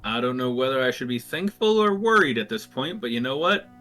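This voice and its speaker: A man's voice: medium pitch, sing-song, brisk, pushed and bright, faint music behind. A man playing a tabletop game, talking through his rolls and giving curt orders in character as a captain. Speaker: player.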